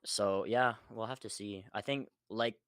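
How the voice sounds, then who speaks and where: Low-voiced man in casual podcast chat. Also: steady tone, a little slow, a bit bright, clear, resonant tone, dry recording, studio quality. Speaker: podcast co-host.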